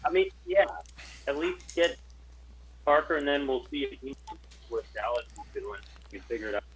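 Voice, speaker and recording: A man's voice: medium pitch, lively intonation, normal speed, breathy edge, rough recording. A man playing a tabletop game, talking casually with the group.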